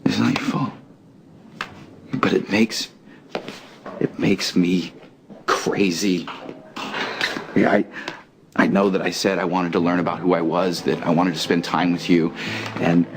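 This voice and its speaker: An actor playing a conflicted amnesiac man in a romantic drama, speaking earnestly and haltingly, often repeating his words. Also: low voice, little pitch movement, noisy background. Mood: frustrated. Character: anguished amnesiac man, conflicted, torn between two loves. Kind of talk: soap opera style romantic drama acting. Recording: loud music under the dialogue.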